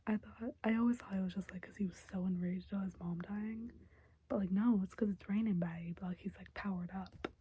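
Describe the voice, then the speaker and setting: A young woman recaps a show in a close, soft whisper. Her voice is very breathy and mellow, and the sound is dry with no room around it. She rambles casually and fills gaps with "like" and "um". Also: mid-range voice, steady tone, a little fast, no echo. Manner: soft whisper, chatty with many fillers. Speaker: TV episode recapper.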